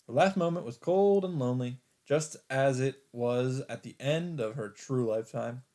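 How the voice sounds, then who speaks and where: A man's voice: mid-range voice, normal speed, a little mellow. A man hosting a let's play, reading a visual novel's narration aloud in a dramatic storytelling style. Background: game music.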